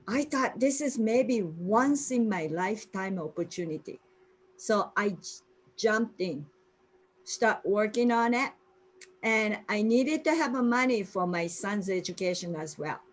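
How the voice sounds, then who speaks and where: A woman's voice: mid-range voice, takes it slowly, long pauses, poor audio. A woman in her sixties with a Japanese accent, earnestly telling her personal story on a video call. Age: 60s. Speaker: guest speaker sharing her story.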